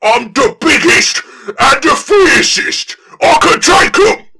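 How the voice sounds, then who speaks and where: A huge, thick-headed orc warlord from a fantasy audiobook. The voice is forceful and heavily gravelled, swinging widely in pitch. He sounds boastful, crude and spoiling for a fight. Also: sing-song, slow pace, full character voice. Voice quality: gravelly, raspy. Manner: crude slangy brutish speech.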